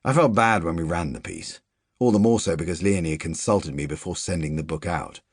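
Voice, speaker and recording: A man reading a literary novel aloud as a first-person audiobook narrator, measured and even, dropping to quiet asides in the dialogue. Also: low voice, slightly rough, dry recording, studio quality.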